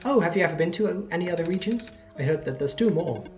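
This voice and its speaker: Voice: medium pitch, expressive, quick pace, close to an everyday voice. Child hero for a game, read by a let's player: earnest, questioning, music behind. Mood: earnest, curious. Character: small, earnest, helpful child adventurer.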